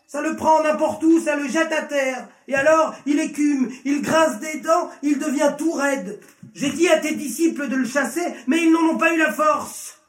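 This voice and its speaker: Man acting high-pitched anguished pleading father, echoey. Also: moderate pace. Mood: desperate pleading. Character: anguished father pleading for his afflicted son. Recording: echoey room, distant from mic.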